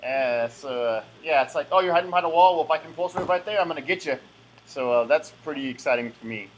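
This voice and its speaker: Chatty man unboxing, slightly high. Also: quick pace, slight background noise. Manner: chatty, rambling, lots of fillers and restarts. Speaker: collectible game unboxer. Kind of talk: casual unboxing commentary.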